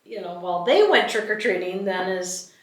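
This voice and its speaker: A woman's voice: medium pitch, animated, normal speed, a bit bright. A middle-aged woman co-hosting a podcast, chatting casually and conversationally about matters of faith. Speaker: podcast co-host. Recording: roomy echo, distant from the mic.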